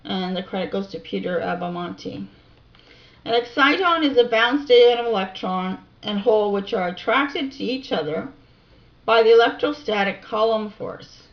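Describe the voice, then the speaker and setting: A woman reading reference text aloud in a deliberate, informal way, with casual musing asides, on a home recording. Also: medium pitch, animated, highs cut off. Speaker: amateur video researcher and narrator.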